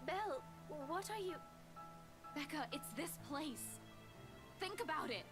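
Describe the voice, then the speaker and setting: An earnest young woman weighed down by guilt in a horror visual novel. Her voice is high and urgent. Music runs clearly behind her. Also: lively intonation, measured pace. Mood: urgent, hopeful. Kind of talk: dramatic visual novel voice acting.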